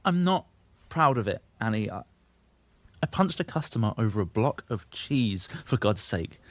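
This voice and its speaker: Troubled young man, audio drama. Self-justifying, sweary, very lively intonation, dry studio sound. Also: on the low side, normal speed, no room sound. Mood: ashamed confessing. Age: young adult. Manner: confessional, defensive, sweary. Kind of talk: naturalistic audio drama dialogue.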